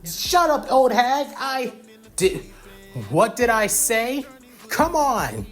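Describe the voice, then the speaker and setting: A narrator voicing an aggressive, hot-tempered young schoolboy bully who shouts and taunts. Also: mid-range voice, animated, slow pace, soft background music. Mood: angry.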